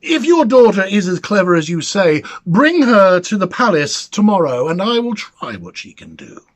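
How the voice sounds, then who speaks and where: A man voicing a greedy, commanding king in a storybook reading, with imperious, measured delivery. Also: high voice, sing-song, pitched above the performer's own voice. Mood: pleased, haughty.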